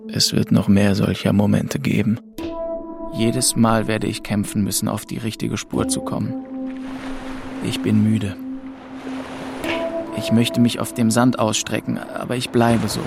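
An actor playing a weary, haunted young man who narrates his story in a measured, brooding way. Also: fairly low pitch, little pitch movement, gravelly, over music. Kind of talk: scripted first-person audio drama narration.

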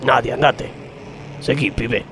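A man's voice: mid-range voice, steady tone, slow, a bit bright, slight background noise. A man with an Argentine accent playing an earnest, scheming factory worker in a comedy radio sketch.

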